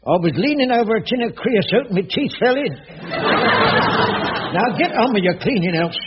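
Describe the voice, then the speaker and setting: An actor playing a grumbling, evasive old caretaker in a radio sitcom, with a working-class English accent and a stammering, blustering manner. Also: male voice, high voice, animated, normal speed, a loud crowd. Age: older. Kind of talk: broadcast radio sitcom acting. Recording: muffled old radio tape, audience, hiss.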